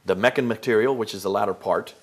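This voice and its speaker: A man's voice: on the low side, fast. Emphatic man lecturing with rhetorical questions. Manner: frequent fillers and restarts. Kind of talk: explanatory lecture to an interviewer.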